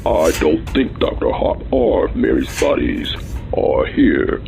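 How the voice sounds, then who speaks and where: An actor playing a blunt, grim rabbit officer in an audio drama, with a gravelly voice and a slow, blunt delivery. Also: male voice, low-pitched, huge pitch swings, background noise, full character voice.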